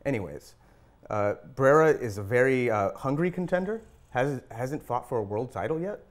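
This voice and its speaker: A man discussing sports casually as a panelist, choosing his words in a slightly halting, tentative way. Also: mid-range voice, lively intonation. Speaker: boxing panelist.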